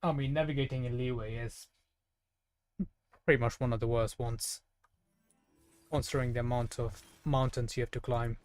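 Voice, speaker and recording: A male streamer with a medium-pitched voice talks over game music. He gives loose, casual commentary on his own play. His manner is chatty and playful, often addressing characters and foes directly as if they could hear him. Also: steady tone, moderate pace, pauses often, no echo. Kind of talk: casual live gameplay commentary.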